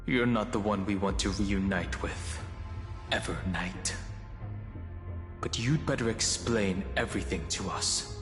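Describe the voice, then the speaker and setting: A man's voice: low-pitched, a little slow. An actor playing a serious, guarded young man in a video game, terse, grave and demanding. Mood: stern, wary. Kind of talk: dramatic video game voice acting. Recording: echoey hall reverb under loud music.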